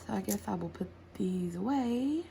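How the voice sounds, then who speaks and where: A woman's voice: mid-range voice, lively intonation, moderate pace, creaky, dark tone, a little hiss or hum. An American woman in her forties, chatting casually and rambling a little while she works on a craft project and answers tag questions. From United States. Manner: rambling, chatty, laughs easily. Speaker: craft channel host.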